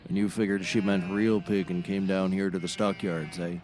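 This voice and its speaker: A man's voice: low-pitched, flat delivery, normal speed, darkish tone, soft background music, close to an everyday voice. An actor playing a folksy, rambling, sardonic livestock auctioneer in a scripted audio comedy, wordy and droll in his delivery. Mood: amiable.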